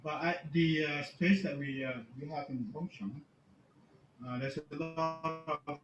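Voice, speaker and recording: A middle-aged man shows his collection in an informal, halting way. His voice is a touch high, heard in an echoey room through dull audio with faint noise. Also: fairly even intonation, over music. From Malaysia. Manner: halting, casual. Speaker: guest storyteller, music archivist. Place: recorded video inside a room at home.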